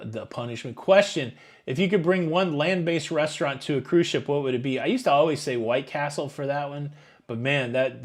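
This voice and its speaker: A man around fifty hosting a live stream, reading viewer questions and answering in a rambling, chatty way with many fillers. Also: medium pitch. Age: 50s. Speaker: YouTube cruise channel host. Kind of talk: live Q&A chat reading super chats.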